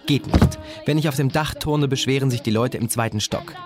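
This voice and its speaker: An earnest, stuttering young man , acted out by a male narrator, from an audiobook. He is literal-minded and will not budge, getting caught on syllables in a raised voice, with clearly audible music underneath. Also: mid-range voice, fairly quick, voice pushed up, lightly acted. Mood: earnest, stubborn. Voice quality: stammering, repeats syllables. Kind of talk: audiobook character dialogue.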